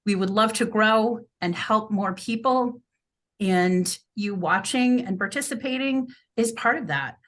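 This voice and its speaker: A woman's voice: medium pitch, unhurried, fairly bright tone. A middle-aged woman from the US Midwest, a real estate agent introducing herself on a podcast in a friendly, upbeat way.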